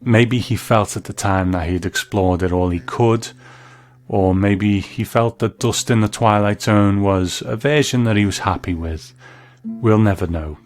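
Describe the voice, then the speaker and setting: A man's voice: on the low side, a little slow, soft background music. A man hosting and narrating a scripted commentary on classic television and radio. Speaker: host.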